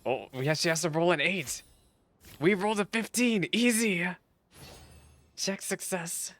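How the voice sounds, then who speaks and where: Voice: mid-range voice, expressive, a little slow, a bit bright. Amused game streamer, casual reaction commentary. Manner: amused, giggly. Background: faint game music.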